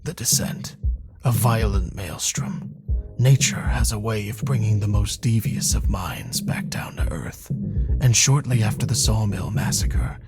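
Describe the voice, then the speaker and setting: A man's voice: lowish voice, lively intonation, a little slow, whispered, music in the background. An actor playing a weary, bitter police detective who tells his story in a brooding, sardonic, dramatic way for a video game cutscene. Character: weary, bitter male police detective recounting a serial killer case.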